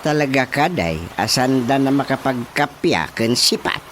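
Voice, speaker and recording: An actor with an Ilocano accent playing a teasing, drawling village official in a radio comedy drama. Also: male voice, mid-range voice, expressive, normal speed, a bit bright, slight background noise, natural voice. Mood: doubtful.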